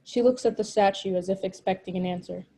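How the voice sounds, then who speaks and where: A woman's voice: mid-range voice, steady tone, a little fast, warm tone. A woman narrating stage directions from a screenplay at a table read.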